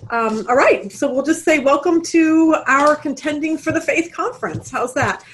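A woman hosts a Bible study conference over a video call. Her voice sits a little high, and she talks in a chatty, rambling way, with plenty of fillers and restarts. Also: normal speed, a little hiss or hum. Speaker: host of women's Bible study webinar. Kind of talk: casual webinar hosting.

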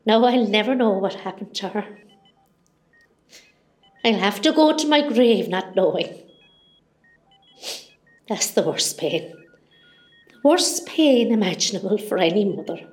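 A woman's voice: mid-range voice, lively intonation, a little slow, pauses often, close to an everyday voice. A woman playing an elderly, wistful Irish mother from Cork in an amateur hospital radio play. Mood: grieving, wistful. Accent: Irish, County Cork. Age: older.

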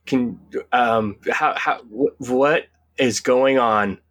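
A man co-hosting a podcast in casual conversation, with a husky, rough-edged voice. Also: mid-range voice, slow pace, fairly bright tone. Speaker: podcast co-host. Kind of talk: casual chat.

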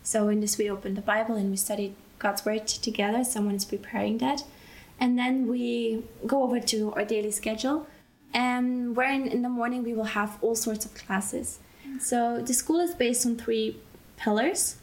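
A young woman from Belgium talks about her mission school training. Her voice sits a little on the high side. She speaks slowly and thoughtfully, pausing and restarting as she finds the right words. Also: steady tone, darkish tone, poor audio. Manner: unhurried, searching for words, restarts. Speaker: interview guest, mission school student. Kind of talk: casual interview answers.

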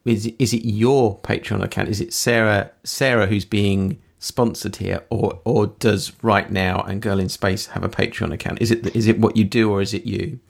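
A man's voice: fairly low pitch, fairly even intonation, moderate pace, creaky, hardly any pauses, slightly rough. A man hosting a podcast interview who asks questions hesitantly, repeating his words. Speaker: podcast host.

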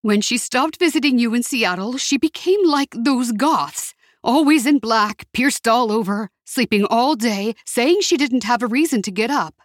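Woman doing a shaky worried old auntie from mexico, higher voice, pleading and a bit judgy. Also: expressive, unhurried, few pauses, dry recording, clean studio sound. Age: older. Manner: trembling, pleading, disapproving. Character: older woman from Mexico, anxious grandmother aunt.

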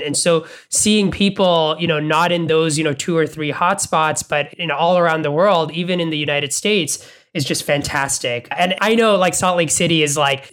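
Brief: host from Bangladesh, mid-pitched, enthusiastic and conversational. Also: a bit bright. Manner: enthusiastic, thinks aloud with repeated words. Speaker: podcast host. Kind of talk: casual interview conversation.

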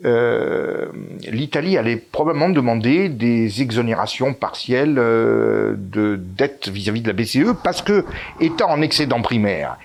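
Hesitant, animated Italian-born man. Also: mid-range voice, measured pace, vocal fry. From Italy. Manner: hesitant, frequent fillers and restarts, emphatic rises. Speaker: interview guest. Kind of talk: political interview conversation.

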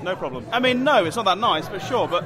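A man's voice: high voice, lively intonation, fast. A tipsy British man co-hosting a podcast in casual chat. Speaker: podcast co-host. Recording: busy bar with music and chatter. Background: music and crowd.

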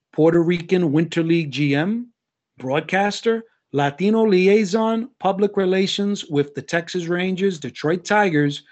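Earnest man hosting a podcast. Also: a little high. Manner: measured, earnest, with some fillers. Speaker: podcast host. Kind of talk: warm formal interview introduction.

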